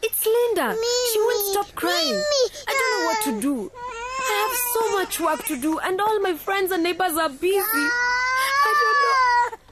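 A worn-out student mother at the end of her rope, tearful and frantic. Her voice sits very high and swings widely, and a heavy hiss runs under the recording. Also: big pitch swings, measured pace, long pauses, full character voice. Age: young adult. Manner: frantic and pleading. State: tearful and exhausted. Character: stressed young student mother at breaking point. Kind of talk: radio drama acting.

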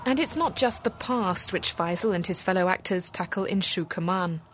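A woman's voice: fairly low pitch, normal speed, background noise. Woman reading a radio news report. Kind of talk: scripted news narration.

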